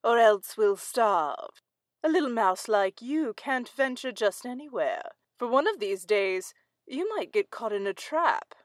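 A woman's voice: fairly high pitch, animated, a little slow, no echo. A woman voicing a sly, greedy male cat in a fairy tale, with a dry, offhand drawl. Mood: scheming.

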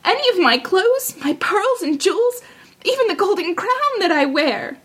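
Woman playing a high-pitched, fretful young princess. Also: sing-song, normal speed, fairly bright tone, heavily acted. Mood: eager, promising. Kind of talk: fairy tale storybook character voice.

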